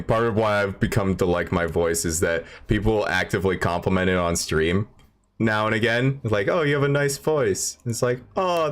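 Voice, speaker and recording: A man hosting a casual chat show, talking in a laid-back, rambling, self-deprecating way. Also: low-pitched. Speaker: podcast host.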